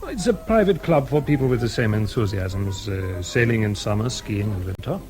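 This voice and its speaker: A man's voice: lowish voice, expressive, moderate pace, over music, natural voice. An actor playing a suave, flirtatious Austrian man with a German-speaking accent in a radio drama, teasing and a little formal. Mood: charming. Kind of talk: British radio drama acting.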